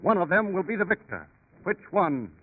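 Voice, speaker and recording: A man's voice: high-pitched, very animated, normal speed, a little hiss or hum. A man performing as a portentous, dramatic storyteller, narrating in scripted 1930s radio style. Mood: dramatic, suspenseful. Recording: tinny 1930s radio recording.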